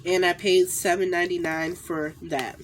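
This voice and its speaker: A woman in her thirties talks to her phone camera in a small room at home, her voice nasal and stuffy from a cold. She chats loosely and warmly, circling back with "um" and "definitely" as she reviews makeup. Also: fairly low pitch, little pitch movement, normal speed, a little hiss or hum, poor audio. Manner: chatty, lots of fillers and repeated "definitely". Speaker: beauty vlogger. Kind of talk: casual makeup haul review. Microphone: phone camera at arm's length.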